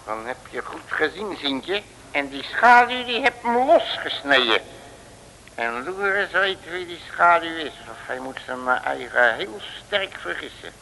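A self-important, bumbling great detective in a vintage comedy radio play. His voice is bright, forceful and fairly high, with pompous, slangy turns. The old recording hisses and rings with some reverb. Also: animated, unhurried, gravelly, full character voice. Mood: brisk. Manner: pompous, colourful slangy exclamations, chuckling at himself. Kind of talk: mid-century Dutch comic radio serial acting.

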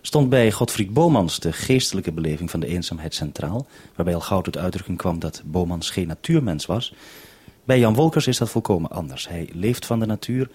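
A man with a Netherlandic Dutch accent hosting a radio programme in a measured, formal manner on a vintage 1970s broadcast. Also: low-pitched, animated, warm tone, breathy edge. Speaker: radio host. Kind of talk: scripted radio introduction and two-way radio link.

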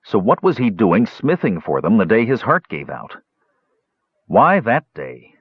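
Guy doing a sulky young dwarf nephew for a fantasy story, blunt, defensive, pitch jumps around, slightly dull sound. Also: very animated, moderate pace, no echo. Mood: puzzled. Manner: belligerent, blunt, informal. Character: sullen, stubborn young male dwarf nephew, defensive, grieving.

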